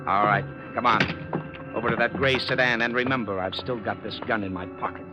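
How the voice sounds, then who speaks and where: An actor playing a cold, scheming businessman and amateur killer with a measured, polite manner, in old radio drama style. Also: on the low side, steady tone, lightly acted. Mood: cold, menacing. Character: cold, scheming male office executive turned amateur killer. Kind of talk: 1940s-50s radio drama acting. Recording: muffled old radio recording, music behind.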